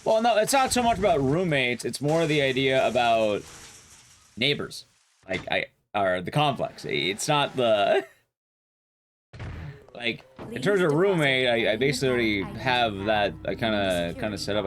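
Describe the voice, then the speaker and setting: A young man with a loud, carrying voice chatting casually with his viewers while streaming a game, rambling and restarting sentences as he goes. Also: a little slow, faint music behind. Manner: rambling, restarts sentences, lively rises and drops in pitch. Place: his room at home, soundproofed.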